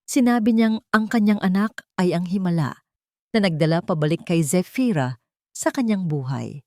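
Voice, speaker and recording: Female storyteller, lower register, scripted romantic drama read in measured phrases, close dry recording. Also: darkish tone, no echo. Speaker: storyteller, narrator. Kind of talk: scripted dramatic narration of a sentimental love story.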